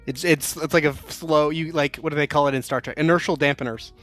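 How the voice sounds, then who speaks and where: Male game master, mid pitch, rules explainer for a tabletop session, with faint background music. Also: fairly even intonation, a little fast, a bit bright.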